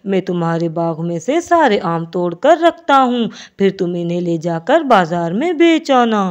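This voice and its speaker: A woman's voice: mid-range voice, very animated, moderate pace, a little mellow, pitched below the performer's own voice, lightly acted. A woman voicing a sly male crow swindler in a cartoon, wheedling and scheming. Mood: ingratiating.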